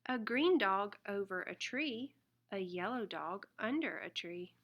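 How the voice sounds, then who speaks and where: A woman reading a children's picture book aloud in an expressive, deliberate way. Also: mid-range voice, highs cut off. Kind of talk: read-aloud children's storybook narration.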